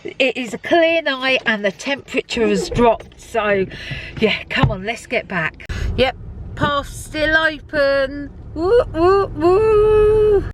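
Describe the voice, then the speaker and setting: A woman's voice: high-pitched, takes it slowly, slight background noise. A woman hosting her own video diary in an upbeat, chatty way, talking straight to the camera from around her narrowboat. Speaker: vlog host. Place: narrowboat and outdoors.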